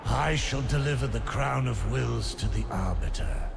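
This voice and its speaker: An actor playing a resolute, solemn envoy in a video game, formal and grave. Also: male voice, medium pitch, animated, normal speed, creaky. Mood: solemn resolve. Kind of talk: dramatic video game cutscene acting. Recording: music behind the voice.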